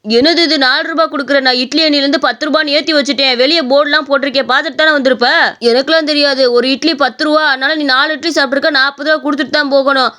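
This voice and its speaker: A woman's voice: high voice, fairly even intonation, very fast, pushed and bright. A woman voicing a brash, petulant and demanding young man in a story. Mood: rude, angry. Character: brash, demanding young man, idle son of idli seller.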